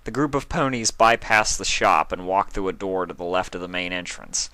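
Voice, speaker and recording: Low-voiced man reading a story aloud. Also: moderate pace, pushed and bright, clean tone, no room sound. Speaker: reader. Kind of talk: fan fiction audiobook narration.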